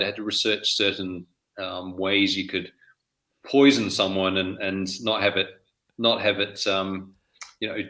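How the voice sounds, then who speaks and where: A man's voice: fairly low pitch, bright, forceful tone, low-quality recording. A middle-aged man from New Zealand, a guest author chatting casually in an interview, rambling with many restarts. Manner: rambling, with frequent restarts and fillers.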